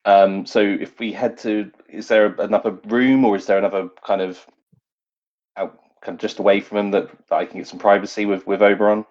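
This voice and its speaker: Low-voiced man, slightly muffled recording. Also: level tone, a little fast, darkish tone. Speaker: tabletop role-playing player. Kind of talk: casual improvised role-play chat.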